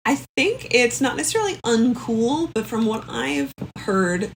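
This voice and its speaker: A young woman, a comedian guesting on a podcast, chatting casually and pausing as she thinks. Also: on the high side, sing-song, a little hiss or hum, poor audio. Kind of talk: casual improvised comedy chat.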